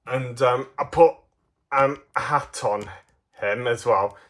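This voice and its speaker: A man presents his hobby in a casual show-and-tell. He has a mid-pitched voice and talks with enthusiasm, rambling along and halting partway through sentences. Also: a bit bright. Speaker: hobbyist presenter of custom toy figures.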